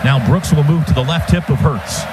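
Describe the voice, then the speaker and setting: A male sports announcer with a high voice gives a running radio call of a football game. His phrases are clipped and urgent, following each move as it happens. Steady noise sits behind him on the live broadcast. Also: brisk.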